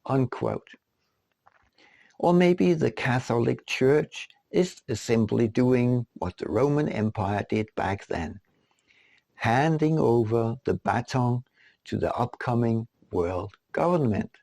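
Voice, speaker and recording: A man narrates a scripted audiobook at a slow, deliberate pace. His voice is mid-pitched with heavy vocal fry. He phrases things rhetorically, as an author reading his own writing. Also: darkish tone, dry recording.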